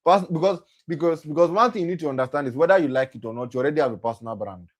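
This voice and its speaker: A man's voice: a little high, rapid-fire. A young man hosting a motivational session for young people. He often repeats himself, corrects himself as he goes, and keeps checking in with "right?". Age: young adult. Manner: repetitive, self-correcting, often asks "right?". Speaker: program host and speaker.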